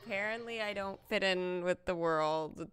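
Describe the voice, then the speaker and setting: A woman's voice: mid-range voice, normal speed, crowd noise. A woman streaming a game, giving casual, informal live commentary and muttered reactions.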